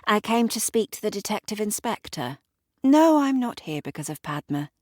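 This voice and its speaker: Woman acting a smooth, airily superior society lady. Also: medium pitch, sing-song, normal speed, a little mellow, dry recording, clean studio sound, voice pushed up. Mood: airily pleased. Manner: breezy, faintly superior. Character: smooth, self-satisfied, languid society woman.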